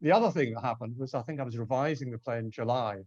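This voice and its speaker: A man's voice: mid-range voice, fast, darkish tone, no echo. A man speaking as a panel guest, a translator telling anecdotes in a wry, self-deprecating way. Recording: dull, dry online call audio.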